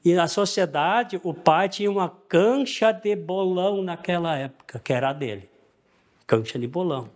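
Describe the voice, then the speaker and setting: An older man, reminiscing about old local sports in an unhurried way. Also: high voice, lively intonation. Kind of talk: interview reminiscence.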